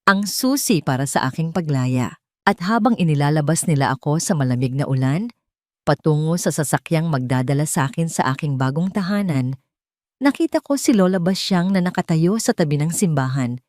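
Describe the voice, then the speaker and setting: Expressive low-pitched Filipino woman narrator. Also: normal speed, no echo, clean studio sound. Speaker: audio drama narrator. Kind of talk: dramatic first-person scripted story narration.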